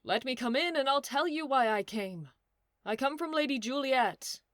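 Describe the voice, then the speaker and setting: A woman's voice: high voice, animated, normal speed, fairly bright tone, no echo, studio quality. A woman playing a fretful, pitying nurse in a modern-language Shakespeare audio drama, lamenting and pleading with repeated phrases. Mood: urgent. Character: Juliet's female nurse, fretful, pitying, bossy servant.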